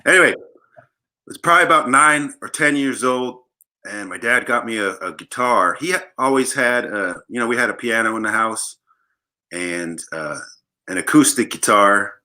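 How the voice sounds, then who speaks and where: A man's voice: medium pitch, moderate pace, sharp tone. A man from Los Angeles, a guest musician, chatting casually on a livestream. He jokes playfully and tells long rambling stories. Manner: joking, playful, rambling storyteller. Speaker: guest bassist. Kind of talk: casual interview chat.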